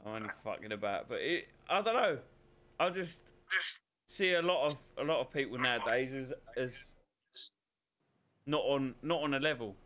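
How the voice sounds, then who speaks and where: A man's voice: medium pitch, lively intonation, moderate pace, long pauses, a bit bright, a little hiss or hum. A man co-hosting a podcast, chatting casually and swearing freely with a laugh. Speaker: podcast co-host. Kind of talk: casual pub-style chat.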